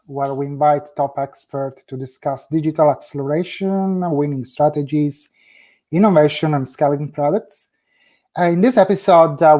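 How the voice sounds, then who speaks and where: A man's voice: fairly high pitch, dark tone. A man hosting an interview podcast over a video call, asking short questions with some fillers. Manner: friendly, brief prompts with fillers. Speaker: podcast host.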